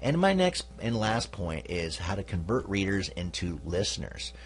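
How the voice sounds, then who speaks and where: A man's voice: low voice, very animated, normal speed, slight background noise. A man hosting a podcast, giving an instructional talk casually, with "you know" asides. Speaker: podcast host.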